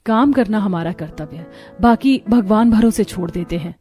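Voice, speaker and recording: A woman's voice: medium pitch, animated, normal speed, mellow, close to an everyday voice. A woman playing an earnest, cheerful young wife who works as a street vendor in a cartoon story. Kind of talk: animated moral story dialogue. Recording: music behind the voice.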